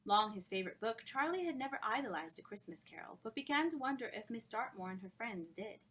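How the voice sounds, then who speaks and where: A woman author reading her own novel aloud in an expressive storytelling style. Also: a little high, fast talker, slightly rough.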